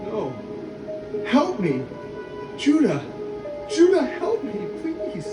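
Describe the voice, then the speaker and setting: An earnest young man in an animated film, pleading his case. The voice is high and swings widely, heard at a distance in echo with music behind. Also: takes it slowly, heavily acted. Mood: desperate pleading. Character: earnest, distressed young man, favored son sold into slavery.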